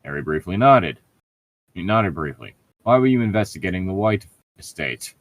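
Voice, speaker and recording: Exasperated, low-voiced man on mic. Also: big pitch swings, normal speed, no room sound. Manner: exasperated, sweary, mocking. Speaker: co-host reading fan fiction aloud. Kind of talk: sarcastic read-aloud with ranting commentary.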